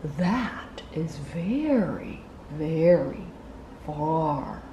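A woman's voice: deep voice, expressive, extremely slow, background noise, full character voice. A woman voicing a big, patient, tender male parent hare in a children's storybook, drawing out the words. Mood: gentle, soothing. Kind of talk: children's picture-book read-aloud.

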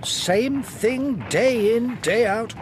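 A man's voice: very high voice, drawn-out words, noisy background, full character voice. An actor playing a teasing, down-to-earth male friend in a radio drama.

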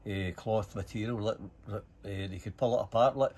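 Husky older Scottish man spinning yarns. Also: on the low side, moderate pace. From Scotland. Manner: animated, sweary, acts out dialogue. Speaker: storyteller. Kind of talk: casual anecdote telling.

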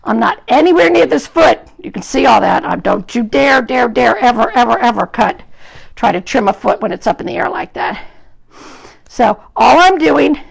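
A hoarse woman groomer with a gravelly voice, chatting to live-stream viewers while trimming a dog in a grooming salon, recorded on a phone. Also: fairly high pitch, sing-song, moderate pace, low-quality recording. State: hoarse from an illness. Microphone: phone camera propped at the grooming table.

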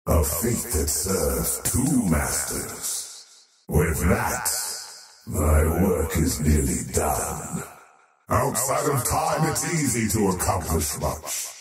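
A deep, distant voice drenched in echo, a faceless creature of the void from a video game. He speaks very slowly and portentously, a power-hungry oathbreaker gloating over his victims. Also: full character voice. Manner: slow, portentous, theatrical, gloating. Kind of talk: scripted video game character voice lines. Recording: heavily echoing, distant, loud music behind.

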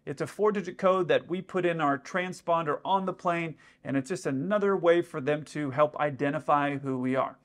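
Pilot in his thirties, fairly high voice, casual explainer to camera, indoor room. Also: moderate pace, hardly any pauses. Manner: explanatory, with frequent "let's say" asides. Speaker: airline pilot commenting on radio audio.

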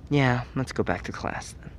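Man acting a slow-talking tsundere teen best friend. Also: medium pitch, a little hiss or hum, close to an everyday voice. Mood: fond, casual. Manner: hesitant, soft-hearted, teasing, feigns reluctance. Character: teenage boy tsundere best friend, caring but teasing. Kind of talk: ASMR roleplay acting.

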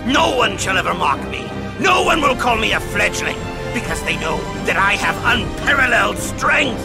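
Arrogant, power-mad villain mage, high-pitched. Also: male voice, very animated, moderate pace, vocal fry, heavily acted. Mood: triumphant, raving. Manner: theatrical, boastful, cackling and shouting. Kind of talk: dramatic video game acting. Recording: loud music under the voice.

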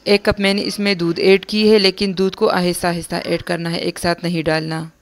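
A young woman host giving a calm, step-by-step recipe voice-over for her home cooking channel. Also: on the low side. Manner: calm, instructive, repeats steps plainly.

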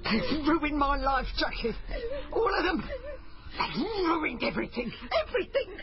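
A woman's voice: high voice, animated, a little slow. An actress playing an indignant, wounded, self-pitying middle-aged mother in a radio drama. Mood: tearful self-pity. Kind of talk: naturalistic radio drama acting. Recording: muffled, noisy radio drama recording.

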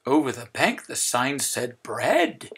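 A man reading a children's story aloud in an expressive, emphatic way. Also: mid-range voice, slow, vocal fry, slightly rough. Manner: expressive, emphatic, repeating phrases for effect. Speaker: storybook reader. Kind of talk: animated children's read-aloud narration.

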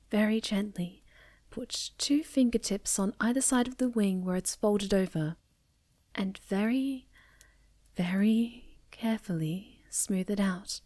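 A woman playing a sweet, timid young moth girl in an ASMR roleplay, speaking softly and breathily with hesitant stammers. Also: mid-range voice. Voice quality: soft, breathy, often near a whisper.

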